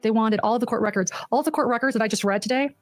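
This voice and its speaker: A woman's voice: fairly high pitch, rapid-fire, dry recording, faint music behind. A woman reading documents aloud on a livestream with emphatic, aggrieved asides in her own defence. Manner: aggrieved, emphatic, self-justifying.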